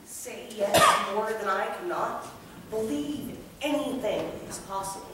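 A blissful prophet acted by a woman chants slowly and placidly on a theater stage, swinging widely in pitch. The sound is distant and very echoey. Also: animated, very noisy, natural voice. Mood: blissful, chanting. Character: patient, blissful robed prophet of collective conscience. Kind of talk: stage acting, chanted aphorisms. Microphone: far camera. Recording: echoey theater from far off, loud music.

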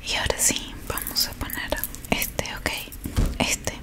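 Slow whispering young Rioplatense woman. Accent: Rioplatense Spanish. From Argentina. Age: young adult. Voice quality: breathy whisper. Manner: soft, slow, repeating words soothingly. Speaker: ASMR roleplay creator playing a technician. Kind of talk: ASMR roleplay. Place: small dim curtained room indoors. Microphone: close microphone in front of her.

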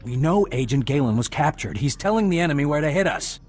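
An actor playing an agitated, pessimistic intelligence agent in a video game, urgent and insistent. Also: male voice, fairly high pitch, expressive, fast talker, darkish tone. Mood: urgent, worried.

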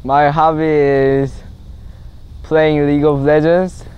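Slow, clear teen boy from South Korea. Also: on the high side, level tone, highs cut off. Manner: slow, simple phrasing. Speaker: exchange student.

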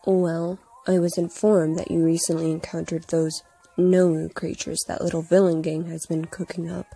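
Woman as a mellow, detached young villain man. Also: fairly low pitch, little pitch movement, creaky, slightly rough. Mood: calm, clinical. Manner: formal, measured, explanatory. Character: cool, detached young male villain reporting experiments. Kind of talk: comic dub.

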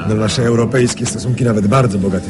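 A jovial, boastful man of new money played by a male actor holds forth in a radio play. His mid-pitched voice is hearty and expansive, with music clearly audible behind him. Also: fairly even intonation, fast talker, natural voice. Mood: boastful, reflective. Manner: hearty, chuckling, hesitant fillers, expansive. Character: jovial boastful Polish emigrant man, self-made rich swindler. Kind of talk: 1980s Polish radio drama acting.

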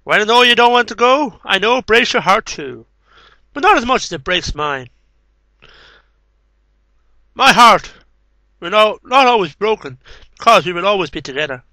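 A man's voice: sits high, lively intonation, moderate pace, pauses often, sharp tone, no echo, low-quality recording. A man in his thirties reading poetry as an amateur, with casual asides, into a headset mic in a small room. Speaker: amateur poetry reader and video maker. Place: small cluttered bedroom. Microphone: headset microphone.

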